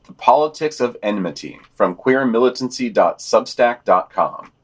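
A man's voice: medium pitch, animated, normal speed. A man co-hosting a podcast, reading a scripted news digest with wry, sardonic commentary.

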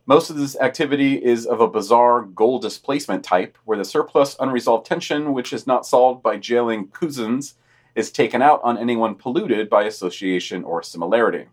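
A man's voice: medium pitch, normal speed. A man hosting a podcast, reading scripted news items and dropping in dry, opinionated asides.

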